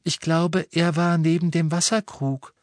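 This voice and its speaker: A man voicing a gentle, dreamy teenage girl who speaks politely and unhurriedly in an audiobook story. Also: fairly high pitch, level tone, unhurried, no room sound, voice pushed up, natural voice. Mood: gentle, calm.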